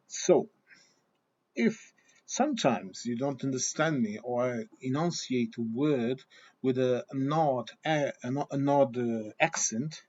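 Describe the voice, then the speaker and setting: A man's voice: mid-range voice, dark tone, breathy edge. Man. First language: Portuguese and German. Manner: hesitant with many restarts, fillers and repeated "okay?". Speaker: vlogger. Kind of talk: opinion talk to camera. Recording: slightly dull lo-fi sound.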